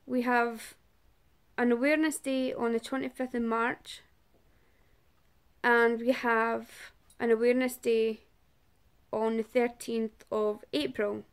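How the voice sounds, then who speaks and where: A Scottish woman speaks slowly and haltingly, often breaking off mid-phrase to find the next word. Her voice sits fairly high, with a gravelly, creaky edge, and she addresses the viewer in a personal to-camera talk. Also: little pitch movement. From Scotland. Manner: halting, searching for words with long pauses. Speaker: awareness vlogger and support group organiser.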